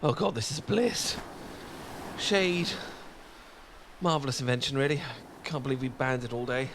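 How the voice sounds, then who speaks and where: A man's voice: medium pitch, animated, moderate pace, fairly bright tone, a little hiss or hum. A British man in an ASMR roleplay, talking in a flustered, stammering, self-deprecating way with nervous laughs and sighs.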